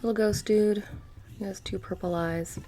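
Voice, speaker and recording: A woman playing a bored, petty young witch shopkeeper in a soft-spoken ASMR roleplay, casually menacing and deadpan, breathy and often dropping into a whisper. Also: medium pitch, noisy background, lightly acted. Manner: deadpan, casual, many drawn-out pauses and filler "like".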